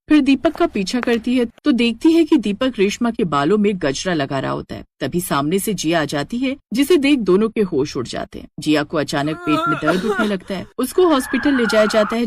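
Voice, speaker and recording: A woman's voice: medium pitch, slight background noise. A woman narrator reading a scripted Hindi cartoon story.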